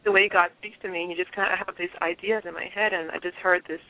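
A woman's voice: lowish voice, steady tone, quick pace, dry recording. A woman from Liberia, an interview guest, telling her own story in an earnest and reflective way. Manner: earnest, reflective, with frequent restarts. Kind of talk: personal testimony in an interview. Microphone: telephone. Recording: tinny dry phone line.

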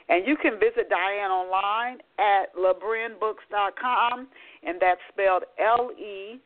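A woman hosting a book talk radio show, chatty and animated, often restarting her sentences. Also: mid-range voice. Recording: tinny phone-line audio.

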